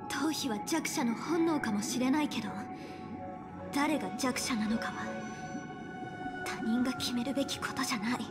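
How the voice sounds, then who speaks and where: An actress playing a gentle but resolute young woman in a video game, with soft, breathy phrasing and quiet conviction. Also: high voice, normal speed, pauses often, lightly acted. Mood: quietly resolute. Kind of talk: Japanese video game voice acting. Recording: music under the voice.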